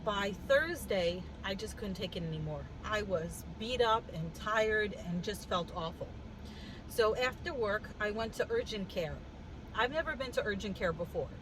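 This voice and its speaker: A woman with a cough telling a story in a casual vlog, animated and wry, laughing as she goes, sitting in a car. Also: medium pitch, normal speed, background noise. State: congested with a cough. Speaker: vlogger. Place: in a car with the air conditioning on.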